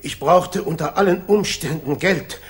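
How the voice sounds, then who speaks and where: Casting: male actor as disgraced colonel, high-pitched, slow and stammering, frightened villain in a vintage radio play. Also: close to an everyday voice. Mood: desperate pleading. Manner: halting, stammering, agitated. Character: cornered, fearful English colonel turned traitor. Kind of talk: 1960s German radio crime drama acting.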